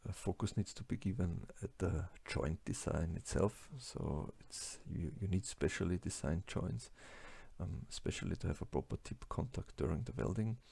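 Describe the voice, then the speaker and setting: A man with a German-speaking accent giving a slide lecture, halting with many fillers and restarts. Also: low-pitched, gravelly, dark tone, breathy edge. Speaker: lecturer on plastics engineering.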